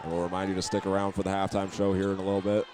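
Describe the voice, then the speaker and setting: An American man calling live high school basketball as a play-by-play radio announcer. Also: completely flat delivery, racing, a crowd in the background, background noise. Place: indoor auditorium at a basketball game.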